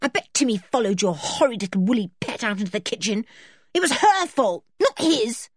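A woman's voice: high voice, big pitch swings, normal speed, no room sound, clean studio sound, voice pushed up. A woman voicing a hot-tempered, imperious tomboy girl in a children's storybook. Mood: furious scolding. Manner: imperious, shouting and scolding.

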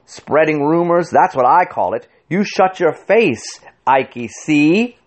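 A man voicing a big, rough, threatening bully in an audiobook, blustering loudly. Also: fairly low pitch, wildly swooping pitch, takes it slowly, heavily acted. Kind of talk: audiobook character voice.

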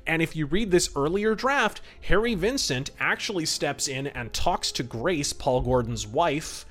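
High-voiced man hosting a review podcast. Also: animated, unhurried, a bit bright. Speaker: podcast host. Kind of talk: enthusiastic review commentary.

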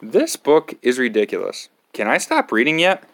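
A man's voice: mid-range voice, wildly swooping pitch, moderate pace, a bit bright. A man reading a picture book aloud to children as a storytime host, with a theatrical, exaggerated delivery.

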